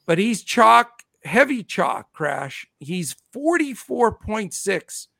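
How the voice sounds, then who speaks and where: A man's voice: high-pitched, very animated, slow pace, gravelly, sharp tone, dry recording, studio quality. A man hosting a casual sports podcast, rattling off numbers in a chatty way while losing his voice. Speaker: podcast host.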